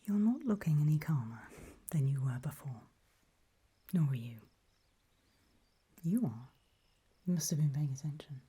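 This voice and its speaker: A woman voicing a calm, ominous gatekeeper in a hushed, breathy ASMR roleplay, speaking mostly in deliberate whispers with pauses. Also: low-pitched, heavily acted. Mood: grave, hushed. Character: calm, ominous female gatekeeper briefing travelers. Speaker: ASMR performer.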